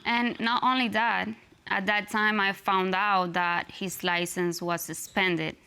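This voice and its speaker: A woman's voice: medium pitch, little pitch movement, takes it slowly, a crowd in the background. A young woman with a Cuban accent and Spanish as her first language, telling her side conversationally in a TV court. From Cuba. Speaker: litigant on a TV court show. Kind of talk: conversational testimony. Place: TV studio courtroom.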